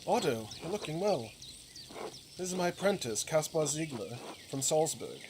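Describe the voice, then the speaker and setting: A man voicing a courteous, reserved male master artist from a game, polite and measured. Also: a little high, sing-song, background noise, voice pushed up. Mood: warm greeting. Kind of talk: reading game dialogue aloud.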